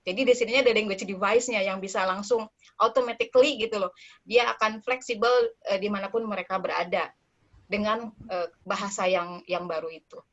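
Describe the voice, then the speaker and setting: A woman's voice: medium pitch, fairly even intonation, brisk, a bit bright. Chatty woman researcher, lo-fi sound. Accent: Serang. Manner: explanatory, chatty, frequent "gitu loh". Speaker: language researcher, guest. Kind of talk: casual discussion. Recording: dull, lo-fi call audio.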